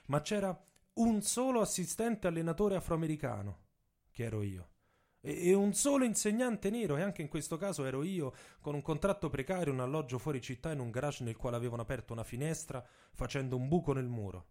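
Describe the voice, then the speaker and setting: A man's voice: a little high, lively intonation, a little fast, darkish tone. A man playing a proud, indignant young American activist professor in a dramatic radio monologue, telling his story emphatically. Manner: emphatic storytelling in the first person. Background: music with instruments.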